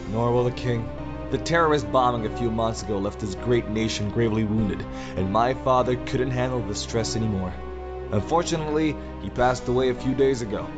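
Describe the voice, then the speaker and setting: An actor playing a zealous new president turned dictator, giving a solemn, oratorical speech in an audio drama. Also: male voice, medium pitch, dull audio, close to an everyday voice.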